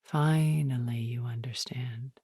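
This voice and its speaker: A woman acting an elegant, calculating, regretful centuries-old woman in a video game, speaking in a measured, deliberate and intense way. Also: very deep voice, steady tone, warm tone, breathy edge, no echo, clean studio sound, full character voice. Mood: soft, confiding.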